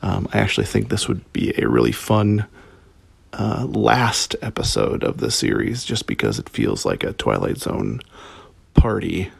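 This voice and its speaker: A man from Minnesota giving a casual spoken review in a halting, hesitant way, in broken phrases. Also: on the low side, animated, creaky, breathy edge, dry recording. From Minnesota, United States. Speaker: listener sending in episode feedback.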